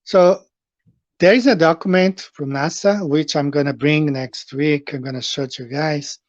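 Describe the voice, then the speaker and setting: A man's voice: fairly high pitch, moderate pace, no room sound, muffled recording. A middle-aged man from Brazil with a Brazilian Portuguese accent, hosting a live stream and chatting with viewers in a rambling way with many fillers. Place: home, in Japan.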